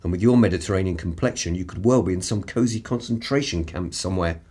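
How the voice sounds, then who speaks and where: An actor playing a condescending, upper-class English spy in a radio play, with a dramatic, old-fashioned gentlemanly manner. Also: male voice, deep voice, quick pace, breathy edge. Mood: grim, urgent. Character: flustered, condescending upper-class male spy, drunk and desperate.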